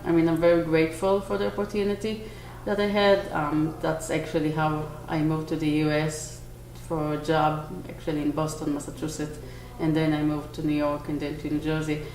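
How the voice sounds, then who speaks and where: A woman in her forties from Israel with an Israeli accent, a bakery owner answering interview questions earnestly, pausing to search for words. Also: low-pitched, flat delivery, a little mellow. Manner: earnest, with small restarts and searching pauses. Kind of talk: on-camera interview. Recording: echoey room, faint noise.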